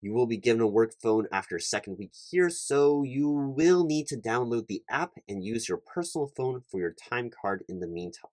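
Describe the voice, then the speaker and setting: A man's voice: medium pitch, animated, moderate pace, hardly any pauses, darkish tone, dry recording, lightly acted. A man playing an officious, polite recruiting manager in a one-sided ASMR roleplay, talking in a wordy, hesitant way and repeating himself. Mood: officious, explanatory. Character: officious, rambling male corporate recruiting manager, polite and awkward.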